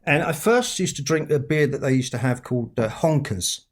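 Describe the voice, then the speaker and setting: A man's voice: a little high, lively intonation, moderate pace, husky. A middle-aged man from England with a London accent, an author chatting on a podcast over a video call, teasing and laughing as he talks. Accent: London English. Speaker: author guest. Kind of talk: casual podcast chat.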